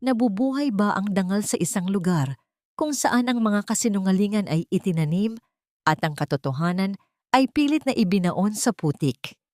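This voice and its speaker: A woman's voice: medium pitch, lively intonation, moderate pace, a little mellow, dry recording, natural voice. A woman voicing a mysterious female designer who speaks formally, with authority and a faint bitterness, in an audio story. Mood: bitter, challenging.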